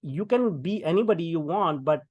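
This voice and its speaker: A man's voice: on the high side, animated, moderate pace, husky, no echo, lo-fi sound. A middle-aged man from India with an Indian English accent, a podcast guest speaking conversationally with many fillers and restarts. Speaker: guest, tech founder. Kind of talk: podcast interview.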